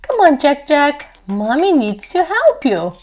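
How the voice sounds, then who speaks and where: High-pitched, coaxing cartoon mommy played by a woman. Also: big pitch swings, a little slow, darkish tone, faint music behind. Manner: singsong, coaxing baby talk. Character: playful, coaxing cartoon superhero mommy of a toddler. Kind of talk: children's toy role-play storytelling.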